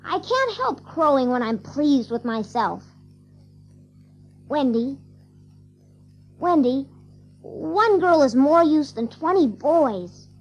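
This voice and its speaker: A woman's voice: high-pitched, big pitch swings, slow pace, long pauses, lo-fi sound, faint music behind, exaggerated voice. A woman playing a cocky, boastful little boy with a childlike manner in an audio drama of a storybook. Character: cocky, boastful ageless little boy who can fly.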